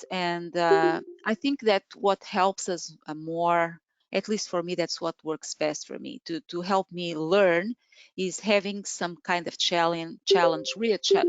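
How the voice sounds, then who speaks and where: A woman's voice: lowish voice, clean tone, dry recording. A woman in her forties from Portugal, a native Portuguese speaker, presenting an online webinar from a room at home, talking with many fillers and restarts. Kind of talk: webinar talk. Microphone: video call. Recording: dull lo-fi video call audio.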